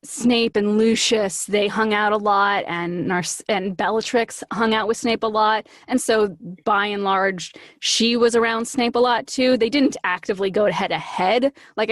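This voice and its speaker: A woman speaking as an interview guest, telling things in an animated, casual, storytelling way. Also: medium pitch, no echo, clean studio sound.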